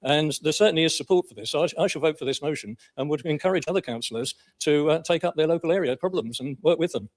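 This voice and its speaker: English male councillor, formal debate speech, quick, slightly high pitch, matter-of-fact. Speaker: county councillor.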